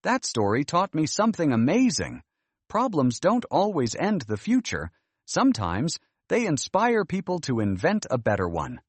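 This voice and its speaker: Man voicing a bright, earnest storybook girl. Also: fairly high pitch, wildly swooping pitch, moderate pace, breathy edge, dry recording, exaggerated voice. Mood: inspired. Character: bright, earnest granddaughter, later an inspired engineer.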